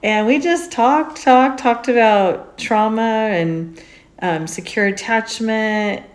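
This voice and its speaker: A woman hosting a casual podcast, chatty and upbeat, with lively emphasis as she interviews a guest. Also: fairly high pitch, slow. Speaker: podcast host. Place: indoors on a road trip in Utah mountains.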